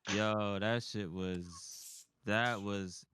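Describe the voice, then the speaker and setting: A man's voice: lowish voice, fairly even intonation, very slow, a bit bright, smooth. A man co-hosting a podcast, chatting informally and often repeating phrases as he goes. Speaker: podcast co-host. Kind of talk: casual chat.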